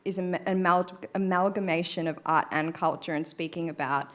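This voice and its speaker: A woman's voice: lowish voice, fairly even intonation, moderate pace, a bit bright. A woman speaking frankly as a guest on a panel, chatty with many fillers. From Australia. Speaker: guest artist. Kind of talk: panel discussion.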